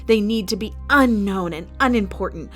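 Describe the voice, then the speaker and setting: A woman playing a cold, imperious inhuman villain in an audio drama, haughty and menacing, with measured threats. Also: medium pitch, a bit bright, soft background music, lightly acted. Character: cold, imperious non-human woman villain running an arena.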